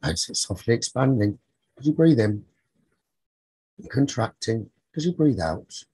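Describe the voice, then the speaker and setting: A man leading a guided sleep meditation as a teacher, soothing and husky, speaking in short phrases with long pauses. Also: mid-range voice, very animated, darkish tone, no room sound.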